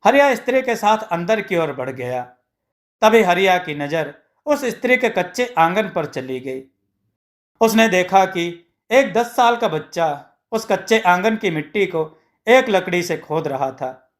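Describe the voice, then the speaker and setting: A man's voice: high-pitched, very animated, a little slow. A man narrating a scripted moral tale as a storyteller.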